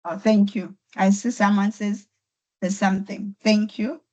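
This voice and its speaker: A woman's voice: mid-range voice, fairly even intonation, a little slow, darkish tone, dull audio. A woman with a voice affected by flu, patiently teaching an online accounting class step by step. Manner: patient, step-by-step explaining with repetition. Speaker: accounting tutor. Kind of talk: online lecture. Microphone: computer mic on a video call.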